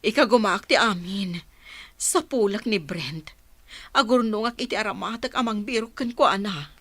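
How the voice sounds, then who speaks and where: A woman's voice: mid-range voice, moderate pace, fairly bright tone, no echo, lightly acted. A woman with an Ilocano accent playing a guilty, evasive woman in a radio drama, hesitant and dramatic. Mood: remorseful. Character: guilt-ridden, evasive woman hiding a wrongdoing. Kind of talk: Filipino radio drama acting.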